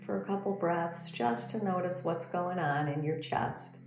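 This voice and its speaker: A woman leading a guided meditation as a teacher, speaking in a gentle, soothing, unhurried instructional manner. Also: on the low side, gravelly. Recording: roomy echo under background music. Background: meditation music.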